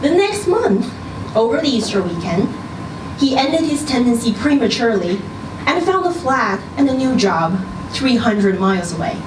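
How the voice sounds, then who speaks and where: A woman reading a short story aloud at a live event, with measured, expressive storytelling. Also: on the high side. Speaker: story reader. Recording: roomy, distant, noisy live event recording.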